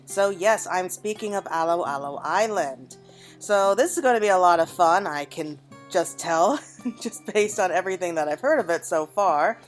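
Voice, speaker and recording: A woman giving casual let's-play commentary, chatting and musing aloud to her viewers as the host. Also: mid-range voice. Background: game music.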